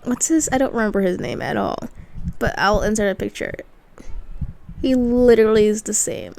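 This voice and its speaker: A woman's voice: mid-range voice, animated, moderate pace, creaky, a little mellow, slight background noise. A woman giving casual, offhand, teasing commentary as she plays through a game. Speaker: gaming video creator.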